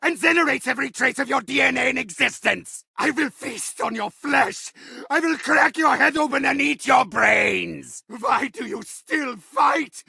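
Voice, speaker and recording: A mad scientist villain, over a hundred years old, taunting and raging at the player in a video game. The voice is high-pitched and gravelly, with a sharp, strained edge. It is recorded dry and close in a studio. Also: male voice, big pitch swings, normal speed, no echo, exaggerated voice. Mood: furious. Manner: theatrical shouting tantrums and gloating taunts. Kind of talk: video game announcer voice lines.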